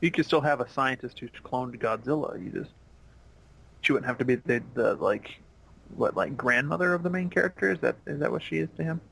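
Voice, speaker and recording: A man's voice: mid-range voice, lively intonation, fairly quick, vocal fry, fairly bright tone, a little hiss or hum, rough recording. A man co-hosting a casual cartoon commentary, chatting in an informal, somewhat hesitant way. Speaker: commentary co-host.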